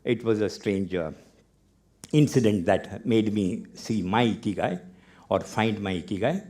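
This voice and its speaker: An older Indian man with an Indian accent giving a talk on stage, telling his story with wry humor and dramatic pauses. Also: fairly low pitch, lively intonation, darkish tone. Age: 50s or older. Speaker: TEDx speaker.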